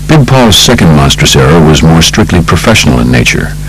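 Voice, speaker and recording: Very deep-voiced American male audiobook narrator. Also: sing-song, slight background noise, rough recording. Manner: measured, polished storytelling delivery. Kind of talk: scripted narration of true crime book.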